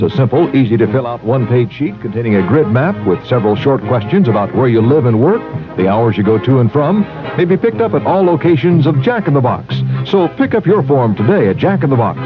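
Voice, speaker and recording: An American man reads a 1970s station announcement in a mid-pitched voice with very lively intonation. Music plays behind him on a dull broadcast recording. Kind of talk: scripted public-service announcement.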